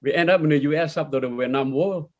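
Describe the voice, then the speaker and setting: Male interviewee from Laos and Iowa, slightly higher pitch, plain informal personal account. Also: fast. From born in Laos, grew up in Iowa. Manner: plain-spoken, non-standard grammar. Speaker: interview guest, former prisoner.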